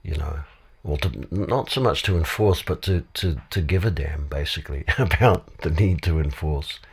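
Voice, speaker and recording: Deep-voiced male guest with a cold, husky, thinking aloud and restarting his sentences. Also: normal speed. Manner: rambling, many restarts and fillers. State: has a cold. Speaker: podcast guest. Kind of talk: casual discussion. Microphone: studio microphone.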